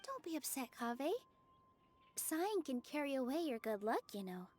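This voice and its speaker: A woman voicing a gentle, considerate young event assistant in an anime game. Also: high voice, very animated, moderate pace, soft background music. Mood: consoling.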